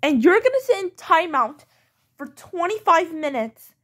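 A woman plays a strict, scolding mother in a homemade pretend-play skit. She has a very high, lively voice and speaks slowly. Her manner is firm and repetitive as she lays down the rules. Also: full character voice.

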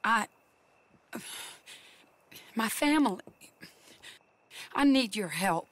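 An earnest American frontier widow. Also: female voice, on the high side, lively intonation, very slow, heavily acted. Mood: pleading. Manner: hesitant, restrained, earnest. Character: earnest, pleading young widow of the Old West. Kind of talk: video game cutscene acting.

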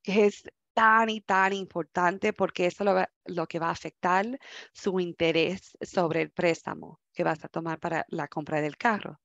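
A woman's voice: on the low side, steady tone, moderate pace, vocal fry, fairly bright tone, dry recording. Woman presenting a workshop over a dull call. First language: English. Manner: conversational, frequent restarts, mixes in English words.

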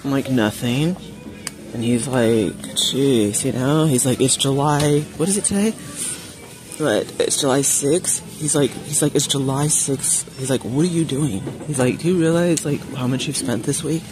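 A woman vlogging as she shops in a big home goods store, chatting casually to viewers with frequent gasps and excited exclamations. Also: very deep voice, normal speed, over music, poor audio. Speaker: shopping vlogger. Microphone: phone camera held while shopping.

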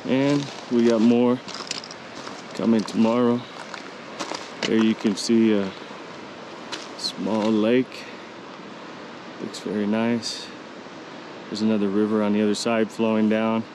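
A man casually narrating his mountain hike outdoors in a relaxed, unhurried way, with a few fillers. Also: fairly low pitch, background noise. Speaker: hiking vlogger. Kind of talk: casual narration of a hiking trip.

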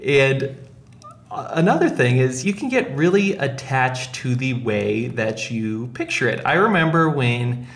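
Medium-pitched man hosting a casual discussion. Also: animated, unhurried, fairly bright tone. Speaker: podcast host.

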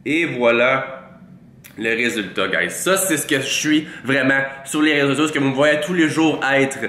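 A man's voice: medium pitch, moderate pace, bright, forceful tone. Québécois man in his twenties, stuffy with a cold, teasing and mock-boastful, close studio mic in an echoey little room. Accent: Québécois French. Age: 20s. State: congested with a cold. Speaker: fitness vlogger. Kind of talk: joking tutorial to camera. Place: small room with a green-lit wall. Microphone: large studio mic in front.

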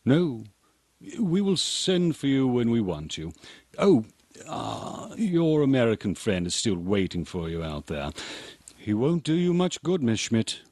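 An actor playing a curt, patronizing police official in a radio drama, clipped and condescending. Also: male voice, medium pitch, sing-song, a little slow, a little mellow, dry recording. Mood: coolly dismissive. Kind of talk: old-time radio drama acting.

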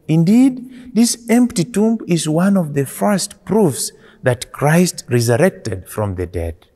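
Slow, lively-toned priest in a reverberant church. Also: male voice, fairly high pitch. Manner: slow, deliberate preaching with rising and falling emphasis. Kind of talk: homily. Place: small church interior, indoors.

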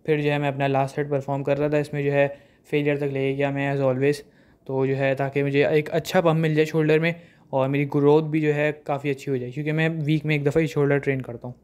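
A young man calmly narrating his gym workout as a voice-over, with many fillers. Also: on the high side, quick pace. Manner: steady recap, heavy fillers.

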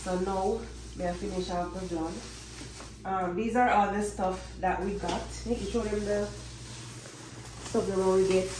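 A woman's voice: medium pitch, fairly even intonation, a little slow, long pauses, recorded from a distance. A young woman with a Jamaican accent chats casually as a family vlogger, in informal Patois-inflected phrasing. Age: young adult. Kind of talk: casual vlog chat. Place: indoors in supermarket and home kitchen. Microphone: phone camera, handheld or a step away. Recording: roomy, noisy handheld phone audio.

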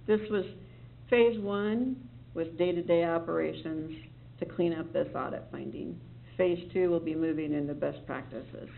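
Gravelly-voiced city staffer, tinny meeting recording. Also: medium pitch, a little slow, a little hiss or hum. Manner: rambling, self-correcting, reassuring. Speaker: city staff member briefing a board. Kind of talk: public meeting briefing. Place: meeting room.

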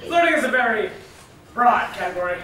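Young woman playing brisk scientist, very echoey stage. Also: a little high, sing-song, a bit bright. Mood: brisk lecturing. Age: young adult. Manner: declarative, projected stage speech. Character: brisk, authoritative scientist presenting rules of romance. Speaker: actor in a stage comedy. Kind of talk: theatrical comic lecture delivery. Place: large indoor theatre stage. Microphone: far camera. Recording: echoey theatre, distant mic, noisy.

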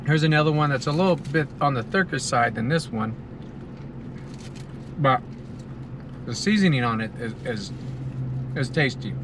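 A man's voice: mid-range voice, expressive, moderate pace, long pauses. A middle-aged American man giving a casual, savoring food review to camera, talking between bites inside a car. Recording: car cabin with clear ambient noise.

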